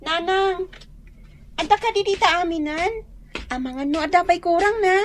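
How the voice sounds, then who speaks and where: A woman's voice: very high voice, moderate pace, slight background noise. A woman with an Ilocano accent playing an anxious young daughter in a radio drama. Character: anxious young daughter helping her parents.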